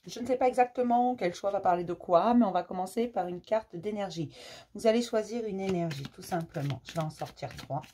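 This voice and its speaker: A French woman with a cold, doing a casual tarot card reading for her viewers, gentle and hesitant, with many fillers and restarts. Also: medium pitch, fairly quick. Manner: affectionate toward viewers. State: has a cold.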